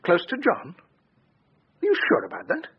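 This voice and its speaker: A prickly, pompous British executive in a radio play. His voice is very husky and swings up and down, in a slightly dull recording. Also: extremely high pitch, wildly swooping pitch, unhurried, exaggerated voice. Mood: smug, guarded. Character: defensive, indignant, pompous company sales executive. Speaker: actor in a radio crime drama. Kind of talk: naturalistic British radio drama acting.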